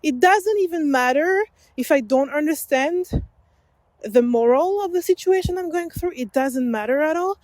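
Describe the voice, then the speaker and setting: A woman's voice: high voice, expressive, normal speed, a little mellow, no echo. A woman whose first language is French, hosting an unedited solo podcast while walking outdoors along a forest path, rambling and correcting herself as she goes. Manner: rambling, self-correcting, laughs often. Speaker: podcast host.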